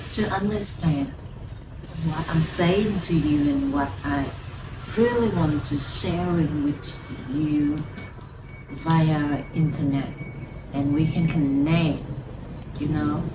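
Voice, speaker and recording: A Vietnamese woman speaking halting English as a second language, chatting casually to camera about her lighter collection at home, with many fillers. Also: low-pitched, roomy sound, recorded from a distance, noisy background.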